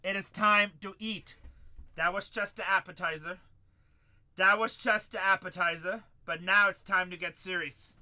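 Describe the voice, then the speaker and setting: A man's voice: sits high, sharp tone. A man in his forties hosting a live card-break stream, calling out cards and bantering with chat. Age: 40s.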